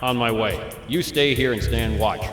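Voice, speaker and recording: A man's voice: medium pitch, moderate pace, a bit bright, close to an everyday voice. Guy voicing a bossy curt agency bad guy in a game, big echoey space, bit of music. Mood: curt, suspicious. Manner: authoritative, curt. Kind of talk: video game cutscene acting. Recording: echoey hall, faint music behind.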